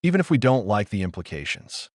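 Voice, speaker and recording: A man's voice: fairly low pitch, sing-song, fast, no room sound, studio quality. A man voicing a blunt, combative, sardonic female zoo worker in an audiobook.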